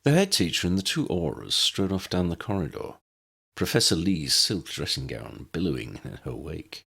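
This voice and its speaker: A man narrates a scripted story in a low, gravelly voice. His delivery is expressive and dramatic, swinging widely in pitch, with a husky edge to the tone. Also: normal speed. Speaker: audiobook narrator reading fan fiction.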